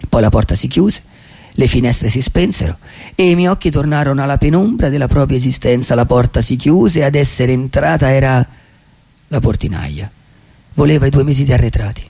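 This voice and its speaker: An actor playing a lonely, lovelorn vagabond poet in a theatrical radio monologue, with a husky voice and halting, repeated phrases. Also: male voice, medium pitch, mellow. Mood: brooding, ironic.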